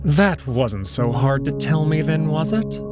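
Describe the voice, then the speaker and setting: A man's voice: a little high, lively intonation, unhurried, loud music behind the voice, voice pushed up. A man voicing a stern old blind wolf in an audio drama, with a cold, clipped, ominous manner. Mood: cold sarcasm.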